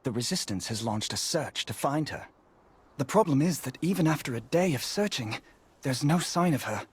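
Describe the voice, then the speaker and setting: An actor playing an earnest, courteous resistance investigator in a video game, polite and a little hesitant when worried. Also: male voice, mid-range voice, expressive, normal speed, breathy edge. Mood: earnest, urgent. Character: earnest, courteous male resistance investigator, anxious about a missing child. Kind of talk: video game character dialogue.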